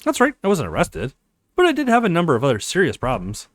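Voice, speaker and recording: A man's voice: a little high, huge pitch swings, quick pace, no echo. A man playing an apologetic, earnest young male college student in a visual novel, with a pleading, sincere manner.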